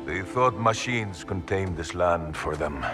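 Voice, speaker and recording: An actor playing a solemn, menacing elder mentor in a dramatic animated game cinematic. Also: male voice, low voice, a little slow, over music. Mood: grim warning. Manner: solemn, ominous. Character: grim, menacing male elder mentor warning a child.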